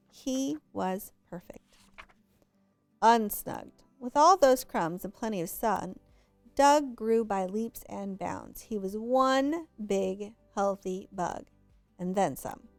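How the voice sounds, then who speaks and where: A woman's voice: mid-range voice, takes it slowly, dry recording, soft background music. A woman reading a children's story aloud expressively, drawing out words for emphasis. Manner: draws out and stresses words for emphasis. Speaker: storybook reader.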